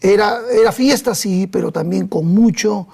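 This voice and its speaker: A man's voice: sits high, normal speed, gravelly, darkish tone, breathy edge. A man with a Peruvian accent, a pastor interviewed as a guest, recalling his childhood and often repeating words as he searches for them. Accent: Peruvian Spanish. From Peru.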